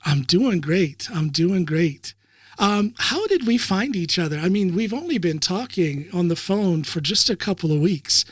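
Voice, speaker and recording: A man's voice: high-pitched, animated, unhurried, no echo. A man from the United States with a slightly raised voice, hosting a casual interview. He chats easily, often repeating a word or two before his thought comes out. The sound is dry and close. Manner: friendly, repeats words when starting. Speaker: podcast host.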